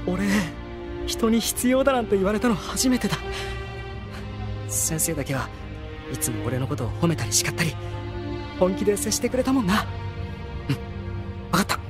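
An actor playing a brash, naive noble teenage boy in an anime audio drama, talking in a rough, casual way. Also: mid-range voice, big pitch swings, normal speed, pauses often, lightly acted. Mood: touched, earnest. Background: music.

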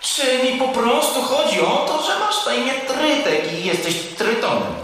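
Small naive creature, high voice, animated pitch, inquisitive, children's audio drama. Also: male voice, unhurried, exaggerated voice. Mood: puzzled. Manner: inquisitive, stumbles over big words. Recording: echoey, reverberant cave effect, faint noise.

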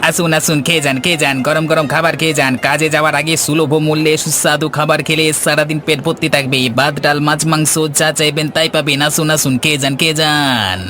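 A man acts a village eatery hawker in a cartoon tale. He calls to customers in repetitive patter, in a slightly high voice. Music plays clearly behind him. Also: flat delivery, fast, few pauses, sharp tone. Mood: eager.

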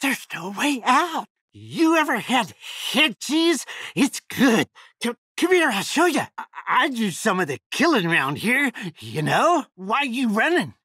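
An actor playing a giddy, sadistic young backwoods killer in a video game, with a Texan accent, a high raspy voice and an excitable, folksy stammer. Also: male voice, no room sound, exaggerated voice. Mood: taunting, gleeful. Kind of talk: video game character voice lines.

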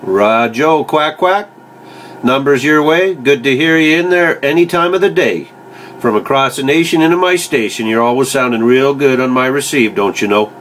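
A man from Canada talks casually as a CB radio operator. He has a mid-pitched voice and a folksy way with call signs and stock sign-offs. There is faint noise in the room recording. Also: moderate pace, fairly bright tone. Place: indoors beside a CB radio set.

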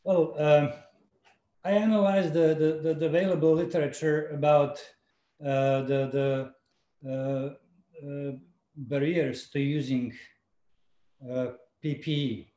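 A middle-aged man speaking as a surgeon on a video call panel, measured and hesitant, with many fillers. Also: on the high side, steady tone, mellow, dull audio. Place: home study with bookshelves. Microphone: video call, computer mic. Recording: echoey room on a video call.

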